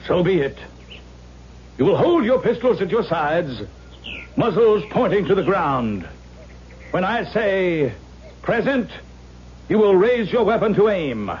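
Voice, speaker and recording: A man's voice: high-pitched, big pitch swings, slow pace, long pauses, full character voice. An actor playing a male film actor in a 1970s radio drama. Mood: formal, solemn. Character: weary, wisecracking male film actor, soon alarmed. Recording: muffled, hissy old radio recording.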